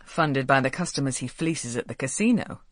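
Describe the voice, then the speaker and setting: A woman voicing a brisk, practical middle-aged mother with a sharp tongue in an audiobook. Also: rumbling low, expressive, dry recording, clean studio sound. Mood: acid.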